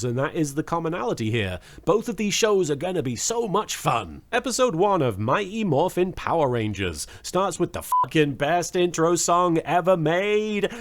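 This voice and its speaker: A man with a somewhat high, very lively voice delivers casual review commentary as a host. His pitch swings widely as he moves through wry, joking asides and the odd swear. The delivery is informal and humorous throughout. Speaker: host of a watch-along review.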